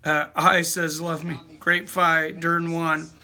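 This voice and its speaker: A man's voice: a little high, completely flat delivery, takes it slowly, bright, forceful tone. A man hosting a live fight companion stream, chatting casually and reading viewer comments aloud.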